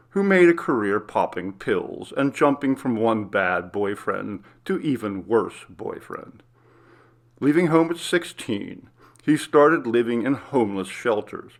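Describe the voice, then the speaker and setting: A man's voice: on the low side, gravelly. A man reading a scripted story aloud as its narrator.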